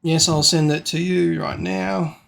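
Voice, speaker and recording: Guy on a call, talks real slow, kinda high voice, keeps pushing the same point. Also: fairly even intonation, gravelly, a bit bright, slight background noise. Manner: insistent, repetitive, stumbles over words. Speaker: debater. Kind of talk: casual online debate.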